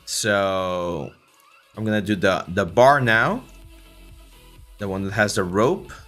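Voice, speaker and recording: A man with a Mexican accent talks through his 3D modeling work on a livestream, easygoing and full of "uh" and "like". He speaks into a desk mic, and soft music plays faintly underneath. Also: fairly low pitch, takes it slowly. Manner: relaxed, filler-heavy, many restarts. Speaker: 3D art instructor and livestream host. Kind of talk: casual livestream tutorial, reading chat.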